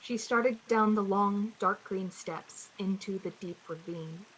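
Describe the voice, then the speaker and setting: A woman playing the narrator of a suspense radio play, speaking in a measured, dramatic storytelling style with a slightly husky voice. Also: mid-range voice, a bit bright, a little hiss or hum, rough recording. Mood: ominous.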